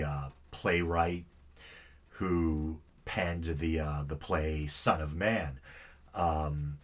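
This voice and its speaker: Low, husky-voiced man with a stuffy nasal sound, hosting a podcast, relaxed and meandering. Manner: rambling, self-deprecating, easygoing. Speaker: podcast host. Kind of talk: casual solo podcast monologue.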